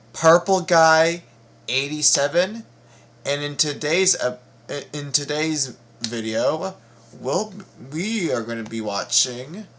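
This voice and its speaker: Guy doing a casual reaction vlog, really slow and stammery, keeps repeating words, some background noise. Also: a little high, a bit bright. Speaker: reaction video host.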